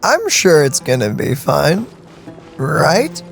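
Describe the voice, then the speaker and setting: Excitable streamer reacting to a game, really up and down voice, faint music. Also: mid-range voice, slow, creaky. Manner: excitable, animated. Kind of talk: casual reaction commentary on a game playthrough.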